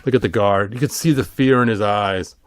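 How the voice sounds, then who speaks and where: A man's voice: fairly low pitch, a little fast, dry recording. Middle aged guy rambling playfully through a kids book, casual host chat, really dry sound. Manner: playful, rambling, self-amused, adds sung sound effects. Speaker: host of a toy and storybook channel. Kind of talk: casual read-along commentary.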